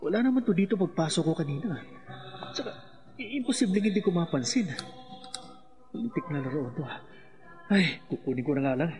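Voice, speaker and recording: An actor playing a doting father of a small boy in a radio horror drama, expressive and coaxing. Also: on the high side, a little fast, long pauses, lightly acted. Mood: puzzled, uneasy. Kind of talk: radio horror drama acting, thinking aloud. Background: music.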